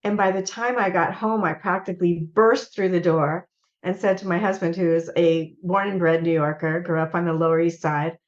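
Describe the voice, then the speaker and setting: A woman's voice: fairly low pitch, normal speed. An older woman, a guest on a video call, animatedly telling stories and acting out the lines of people in them. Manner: animated storyteller, acts out quotes. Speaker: guest, clothing entrepreneur. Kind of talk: casual storytelling. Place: home office, indoors. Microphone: video call webcam. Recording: dull lo-fi video call audio.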